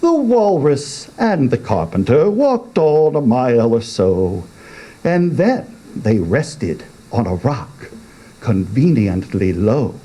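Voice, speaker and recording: A man recites comic verse as a dramatic reader. His delivery is theatrical and unhurried, his voice husky and widely swooping. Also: mid-range voice, big pitch swings, dark tone. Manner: theatrical, deliberate. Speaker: poetry reader.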